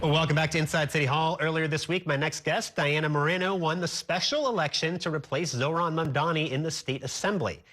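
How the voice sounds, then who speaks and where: Higher-voiced male news host. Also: fairly bright tone, soft background music. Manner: conversational, brisk questioning. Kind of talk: political interview.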